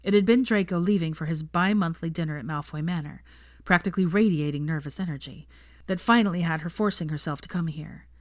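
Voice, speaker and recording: A woman narrating a story in a measured, even reading. Her voice sits on the low side and sounds dry and close. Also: no room sound. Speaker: fan fiction reader.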